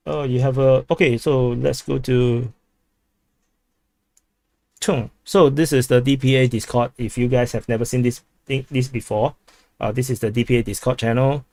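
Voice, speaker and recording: A man's voice: medium pitch, fairly even intonation, normal speed, darkish tone, no echo. A man from Singapore with a Singaporean English accent, hosting a casual livestream, rambling with many restarts while reading chat aloud. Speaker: livestream host.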